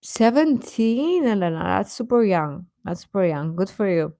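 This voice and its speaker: A woman's voice: medium pitch, sing-song, moderate pace, warm tone, dry recording, studio quality. A woman hosting a livestream, chatting casually and advising viewers in a conversational way, often hesitating and correcting herself. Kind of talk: casual chat, giving advice to viewers.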